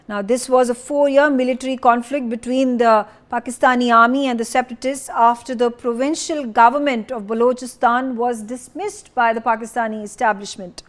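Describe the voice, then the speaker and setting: Female news anchor, higher-pitched, semi-improvised explainer delivery, deliberate and emphatic. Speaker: news presenter. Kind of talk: news explainer presentation with some ad-libbing.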